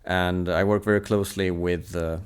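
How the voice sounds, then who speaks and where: Swedish man in his thirties, native swedish speaker, low voice, smooth and resonant tone, thoughtful and measured, hesitant fillers. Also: steady tone. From Sweden. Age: 30s. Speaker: national team table tennis coach, podcast guest. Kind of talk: reflective casual conversation.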